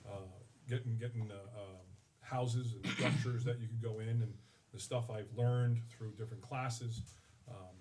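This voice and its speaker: A middle-aged man, talking candidly as an interview guest in a halting way, repeating words as he looks for phrasing. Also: medium pitch, fairly even intonation, background noise. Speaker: guest, firefighting instructor and training officer. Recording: voice slightly distant from the mic.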